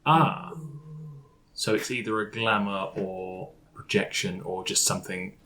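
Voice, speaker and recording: A man's voice: fairly low pitch, steady tone, a little slow, noisy background. A man playing a tabletop game, chatting casually and questioning the story.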